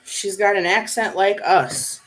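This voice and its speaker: A woman's voice: mid-range voice, fairly even intonation, measured pace, rough recording. A woman chatting casually in a blunt, informal, slangy way. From New York, United States. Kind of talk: casual chat.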